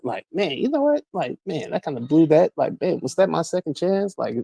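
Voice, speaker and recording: A man in his mid-forties in a casual chat, halting and repeating words, full of "like". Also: on the high side, big pitch swings, vocal fry, warm tone, breathy edge, no room sound. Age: 40s.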